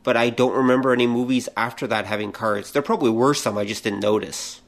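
Reflective middle-aged man, mid-pitched voice. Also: steady tone, fast, gravelly. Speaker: podcast co-host. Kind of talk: casual conversational questioning.

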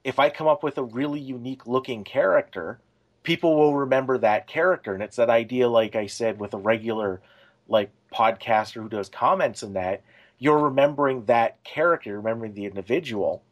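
A man's voice: medium pitch, fairly quick, highs cut off. A man co-hosting a podcast, talking casually and explaining his thoughts in a conversational way. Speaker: podcast co-host.

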